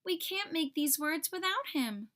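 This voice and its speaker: A woman voicing small, excitable, anxious young alphabet letters from a children's storybook, exclaiming in a childlike way. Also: very high-pitched, a little slow, voice pushed up, heavily acted. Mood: frustrated, worried.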